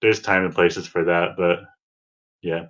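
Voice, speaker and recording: A man's voice: sits low, fairly even intonation, unhurried, fairly bright tone. A man co-hosting a podcast in casual conversation about video games, heard through a lo-fi microphone. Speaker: podcast co-host. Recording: dull, lo-fi microphone sound.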